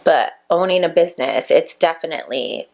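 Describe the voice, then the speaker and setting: Woman, 30s, vlogger, gravelly low tone, chatty and reflective. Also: lively intonation, moderate pace, a little mellow. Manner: chatty, reflective, full of "like" fillers. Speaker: vlogger and makeup business owner. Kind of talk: casual chat to camera while doing makeup.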